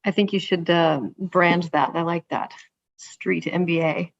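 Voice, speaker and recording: A woman's voice: lowish voice, steady tone, unhurried, vocal fry, highs cut off. A woman hosting an online interview webinar, friendly and casual, with frequent fillers, over a video call. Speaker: host.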